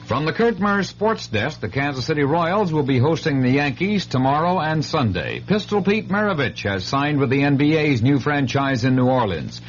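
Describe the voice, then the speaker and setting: A man's voice: fairly high pitch, big pitch swings, normal speed, hardly any pauses. An American man reading scripted news and sports on the radio. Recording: dull, faintly noisy radio broadcast.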